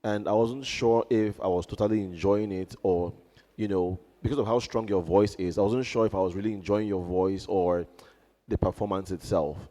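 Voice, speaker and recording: A man giving a reflective, measured critique as a judge. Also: lowish voice, fairly even intonation, warm tone. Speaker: talent show judge. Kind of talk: live critique.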